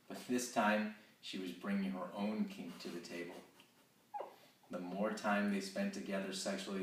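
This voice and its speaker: A man reading narration from a romance novel aloud in a steady, measured way. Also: sits low. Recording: roomy, distant, faint hiss.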